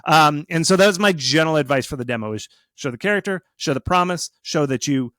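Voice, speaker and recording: A man's voice: on the high side, quick pace, dry recording. A man giving casual, conversational expert advice in an emphatic, informal way, often acting out imagined reactions. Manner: animated, acts out imagined reactions and quotes. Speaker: guest expert on game marketing.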